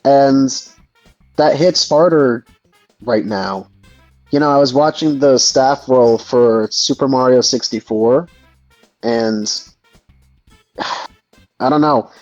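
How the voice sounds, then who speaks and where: A man's voice: medium pitch, slow, pauses often, a little mellow, no echo. A man in a casual discussion, speaking in a reflective, hesitant way and often searching for words. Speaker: host of a gaming discussion. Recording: dull lo-fi mic, music underneath. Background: background music.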